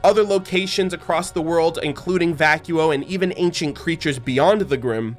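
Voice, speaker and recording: Higher-voiced man, enthusiastic fan commentary. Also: fairly bright tone. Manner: enthusiastic, rambling. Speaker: host of a fan channel about an animated series.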